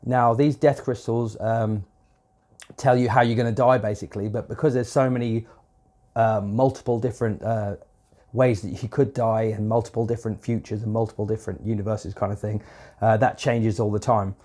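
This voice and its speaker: A man's voice: lowish voice, fairly even intonation, normal speed, mellow. A man with a cold and a stuffed-up nose, casually reviewing a show episode in a rambling way, with lots of fillers and swearing. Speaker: video reviewer.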